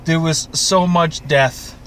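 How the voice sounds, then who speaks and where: Man, podcast co-host, sharp bright tone, casual recap chat, opinionated, sweary, noisy recording. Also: a little high, fairly even intonation, takes it slowly. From Canada.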